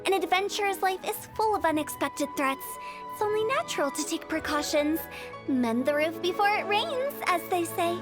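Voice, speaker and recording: A very high, girlish voice for an eccentric young funeral director in a video game. She is cheeky and theatrical, forever pitching like a pushy saleswoman, with music playing underneath. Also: expressive, normal speed. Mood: persuasive, upbeat. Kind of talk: English video game voice acting.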